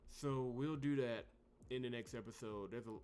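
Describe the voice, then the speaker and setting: A man doing let's play commentary, thinking aloud in animated bursts. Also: medium pitch, moderate pace. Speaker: game playthrough host. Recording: music behind the voice. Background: video game music.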